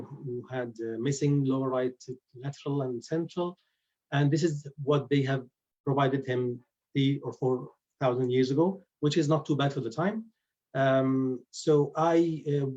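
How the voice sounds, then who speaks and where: A man's voice: medium pitch, dark tone. Male oral surgeon, forties, hesitant lecturer, dull lo-fi call audio. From Egypt. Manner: hesitant, many fillers and restarts. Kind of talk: webinar lecture. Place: indoors at home. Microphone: computer mic on a video call.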